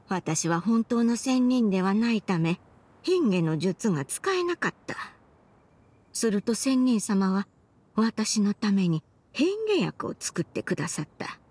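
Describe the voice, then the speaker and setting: A woman's voice: medium pitch, darkish tone, breathy edge. An actress playing a remorseful old grandmother in a Japanese game, confessing her past in an archaic elderly way of speaking. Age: older. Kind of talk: Japanese game voice acting, dramatic monologue.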